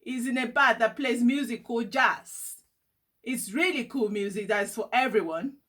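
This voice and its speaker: A woman's voice: fairly high pitch, moderate pace, a bit bright, breathy edge. A woman voicing an encouraging, upbeat older boy cousin in a storybook, reassuring and enthusiastic.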